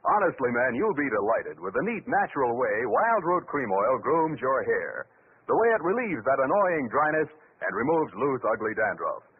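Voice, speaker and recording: A man's voice: medium pitch, very animated, normal speed, husky. An American man announcing in 1940s radio style, with a polished salesman's delivery. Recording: tinny, faintly noisy 1940s radio recording.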